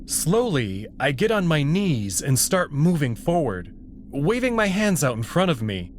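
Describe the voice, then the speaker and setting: A man's voice: on the high side, very animated, a little slow. A man narrating a story in the first person in a dramatic style, with faint noise in the recording.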